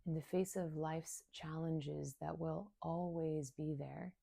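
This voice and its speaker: A woman guides a meditation in a low, mellow voice with very little melodic movement. She speaks in short, soothing phrases with long pauses, and her phrases soften as they end. The delivery is slow and unhurried. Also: fairly even intonation, gravelly, breathy edge, no room sound. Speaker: meditation guide and somatic coach.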